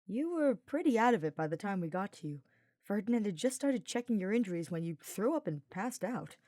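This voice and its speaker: A woman's voice: medium pitch, sing-song, brisk, warm tone, dry recording, studio quality. A woman voicing a teasing, easygoing young male knight in a fantasy story, slangy and informal. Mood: casual teasing.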